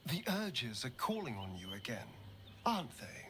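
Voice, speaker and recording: An actor playing a flamboyant, wry vampire rogue in a video game, with an arch, teasing, theatrical manner. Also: male voice, medium pitch, big pitch swings, normal speed, sharp tone, a little hiss or hum. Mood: wry concern. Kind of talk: video game companion dialogue.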